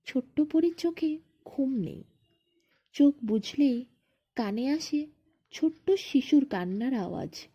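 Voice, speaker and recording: A woman narrating a bedtime fairy tale expressively, in short phrases with drawn-out dramatic pauses. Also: high-pitched, animated, dark tone, lo-fi sound. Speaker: storyteller.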